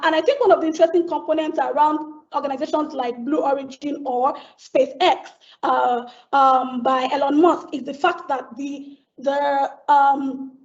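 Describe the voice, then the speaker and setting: A woman with a high voice speaks as a panelist on a video call. She thinks aloud and often restarts her sentences. The audio is dull and lo-fi. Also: darkish tone. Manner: thoughtful, searching, with frequent restarts. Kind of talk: webinar panel talk.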